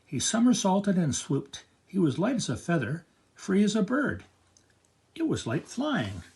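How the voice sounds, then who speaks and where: An older man reading a picture book aloud to children as a grandfatherly storyteller, with expressive narration. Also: sits high, a little slow, gravelly.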